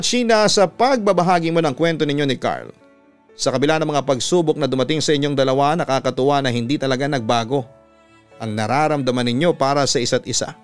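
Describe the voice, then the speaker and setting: A Filipino man narrates like a radio announcer, measured and earnest. His voice sits a little high and is smooth and clear. Light music plays behind him. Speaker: radio announcer and story host. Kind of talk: scripted narration of a listener's letter. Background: music under the voice.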